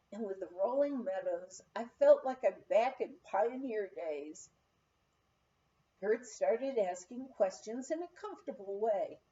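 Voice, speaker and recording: High-pitched woman reading a memoir expressively. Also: mellow, lo-fi sound. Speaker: audiobook reader.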